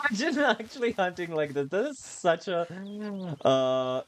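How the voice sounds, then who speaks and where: A man's voice: sits high, big pitch swings, unhurried, a little hiss or hum. A man doing casual, rambling gameplay commentary with playful, self-mockingly silly asides as he streams a video game.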